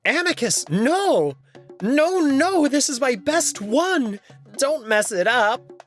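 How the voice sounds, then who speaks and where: A squealing, high-pitched little tomcat, indignant and theatrical in every protest. His pitch leaps around, and music plays underneath, as in a visual novel. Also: big pitch swings, slow, full character voice. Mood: panicked, indignant. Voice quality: shrill, squealing when upset. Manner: fussy, dramatic, protesting. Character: squeamish, indignant small male cat, easily flustered. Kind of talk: visual novel character dub.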